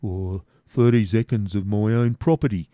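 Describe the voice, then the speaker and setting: Male narrator as a dogged, surly servant; dark low tone, dry sound. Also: steady tone, normal speed, dry recording, close to an everyday voice. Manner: dogged, grumbling.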